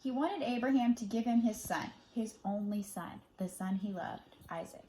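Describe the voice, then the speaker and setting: A woman reading a children's Bible story aloud as a storyteller, dramatic in delivery, moving between emphatic and hushed phrasing. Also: medium pitch, normal speed, slight background noise, low-quality recording.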